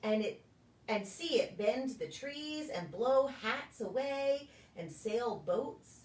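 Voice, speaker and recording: A woman reads a picture book to small children in a sing-song storytelling style. Her voice sits a little high and swings widely in pitch. She is heard from a bit of a distance from the microphone, in a deliberate delivery meant for preschoolers. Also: animated, darkish tone. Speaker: pastor reading stories to preschool children. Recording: echoey room, distant microphone.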